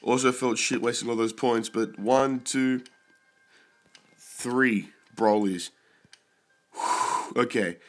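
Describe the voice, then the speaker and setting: Slow-talking sick young man, gameplay commentary. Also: mid-range voice. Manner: excited, swears at big pulls. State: sick, throat-clearing. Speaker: mobile game YouTuber.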